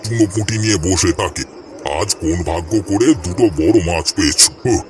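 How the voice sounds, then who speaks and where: Cartoon village grandfather man acting: elderly, deep, genial and opinionated, music underneath. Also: brisk, lightly acted. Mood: haggling. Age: older. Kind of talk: Bengali cartoon dialogue.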